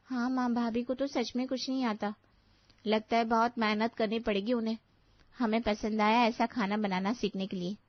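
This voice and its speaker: A woman's voice: on the high side, fairly even intonation, moderate pace, no room sound, highs cut off, lightly acted. A woman voicing a picky, chatty young sister-in-law in a story. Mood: critical.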